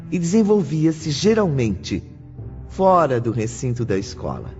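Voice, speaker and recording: A male narrator with a fairly high, mellow voice. He tells the story solemnly and very slowly over faint music. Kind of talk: scripted audiobook narration. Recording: dull lo-fi audio, faint music.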